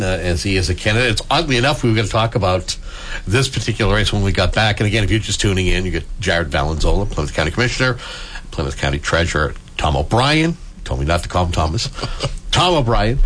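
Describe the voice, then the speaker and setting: A man's voice: on the low side, animated, quick pace, fairly bright tone, slight background noise. A man hosting a talk radio show, introducing guests with loose, jokey banter.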